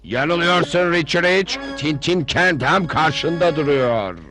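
A man's voice: on the high side, slow pace. A man voicing a vengeful, boastful film director turned scheming villain in a dubbed cartoon, with a menacing, gloating manner. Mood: commanding triumph. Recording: music behind the voice.

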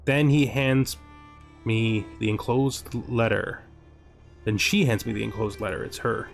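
A man's voice: on the low side, steady tone, unhurried, gravelly. Man doing game commentary over music. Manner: thinking aloud, asking himself questions. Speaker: let's-play host. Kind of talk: casual strategy game commentary, reading event text aloud.

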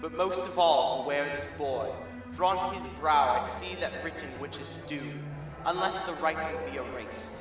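Radio drama role: solemn male ghost played by a man, higher-pitched, declamatory, drowned in echo and music. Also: moderate pace, close to an everyday voice. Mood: grave warning. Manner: grave, declamatory. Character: solemn ghostly spirit warning of doom. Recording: distant, heavily echoing, muffled, under music.

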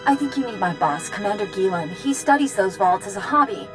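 A woman voicing a harried, earnest young prison assistant in a video game, flustered and halting. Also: mid-range voice, lively intonation, music in the background, lightly acted. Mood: flustered, stressed.

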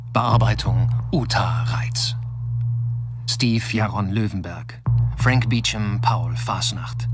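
Low-voiced male announcer over loud music. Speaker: credits announcer. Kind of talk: scripted radio announcement.

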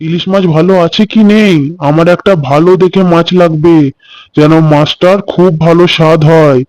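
A man's voice: a little high, steady tone, normal speed, a little mellow, dry recording, natural voice, poor audio. A man playing a kindly, doting village father in a children's cartoon, chatty and affectionate. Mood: cheerful. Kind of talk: Bengali children's cartoon story dialogue.

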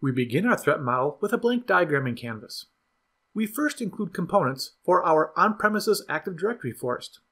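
A man narrating a scripted software tutorial in an even, instructional way. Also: fairly high pitch, brisk, slightly rough. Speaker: product demo narrator.

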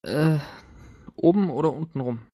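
A man acting as game master, narrating an improvised tabletop game in a playful, halting, chatty way. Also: on the high side, expressive, mellow. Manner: playful, joking, halting descriptions.

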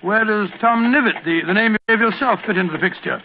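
A man's voice: high-pitched, quick pace, background noise. An actor playing a bluff, good-natured older male doctor, hesitant and stammering, in a 1940s radio drama. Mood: puzzled. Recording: tinny old radio broadcast.

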